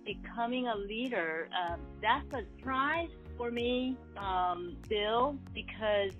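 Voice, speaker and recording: A woman in her fifties, born in South Korea and a native Korean speaker, speaks as a podcast guest in a soft, hesitant way with many ums. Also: fairly high pitch, slow, soft background music. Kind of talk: casual interview over the phone. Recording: tinny phone line.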